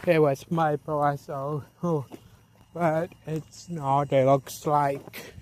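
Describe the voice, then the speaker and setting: An eighteen-year-old man vlogging outdoors at night, talking to a handheld camera, slow and repetitive, often restarting his sentences. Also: a little high, steady tone, a little mellow, slight background noise. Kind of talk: casual vlog narration.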